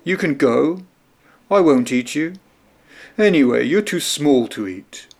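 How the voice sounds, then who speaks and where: A proud storybook lion, big and male, gruff and scoffing, voiced by a man reading the tale. His lines sit a bit higher and louder than the plain narration. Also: expressive, a little slow.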